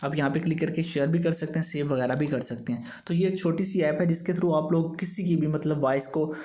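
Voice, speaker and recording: A man speaks in a slightly high voice. He hosts a tech tips tutorial and walks the viewer through each step in an instructional way. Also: steady tone, brisk, mellow. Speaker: host of a tech tips channel. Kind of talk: scripted-sounding tutorial narration for a video.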